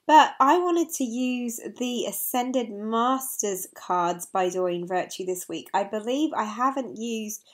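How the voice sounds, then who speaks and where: Soft-spoken woman with a slightly croaky voice. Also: a little high, animated. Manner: soft, earnest, spiritual, with fillers and pauses. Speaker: angel card reader. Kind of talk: weekly card reading to camera. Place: living room, on a sofa indoors.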